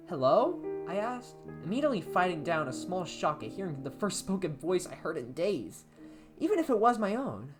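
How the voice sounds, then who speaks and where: A young man playing an anxious, isolated college student in a first-person horror story, with a hesitant, brooding delivery. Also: on the high side. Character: anxious isolated college-age man writing down his unease. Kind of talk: dramatic horror story reading. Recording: music under the voice.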